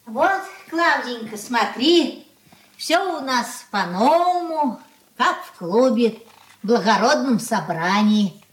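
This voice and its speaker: Radio drama: anxious old merchant mother, highish voice, folksy, plaintive, confiding. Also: expressive, slow, slight background noise, low-quality recording. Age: older. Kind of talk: radio play acting.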